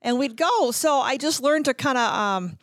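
A woman's voice: sits high, animated, normal speed, fairly bright tone, no room sound. A middle-aged woman telling stories in a casual chat as a guest, acting out little quotes as she goes. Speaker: guest, former radio promotions worker.

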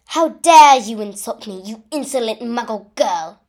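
A woman voicing a menacing male dark wizard villain, cold and mocking, drawing out the words in a story reading. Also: fairly high pitch, expressive, whispered. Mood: outraged. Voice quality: soft, cold. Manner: mocking, drawn-out delivery.

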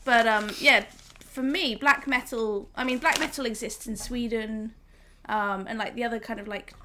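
An English woman talks casually on a podcast, her voice sitting slightly high. She rambles warmly through thoughts, leaning on "like" and restarting sentences. A light background hiss sits under the recording. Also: steady tone, normal speed. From England. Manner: chatty, many fillers and restarts. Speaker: guest photographer.

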